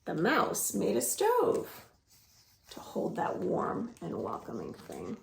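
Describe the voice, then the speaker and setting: A young woman leading a children's storytime from home, reading stories and singing rhymes in a lively sing-song way. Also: fairly low pitch, slow, vocal fry, reverberant, slight background noise. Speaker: children's librarian.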